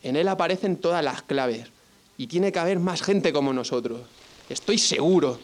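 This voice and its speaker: A man's voice: on the high side, lively intonation, normal speed, close to an everyday voice. A man from playing an anxious, defiant dissident in a radio drama, earnest and questioning. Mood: earnest, resentful. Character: defiant, anxious male dissident who hates the regime. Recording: long hall reverb, faint music behind.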